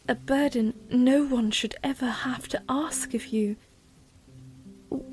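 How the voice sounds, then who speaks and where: A woman playing an earnest, gentle young princess in an audio drama, speaking in a soft, tentative, measured manner. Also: high voice. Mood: hesitant, concerned.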